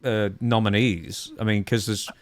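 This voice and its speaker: A man co-hosting a podcast in casual discussion, measured and dryly understated as he runs through details. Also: lowish voice, little pitch movement, dry recording. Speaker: podcast co-host.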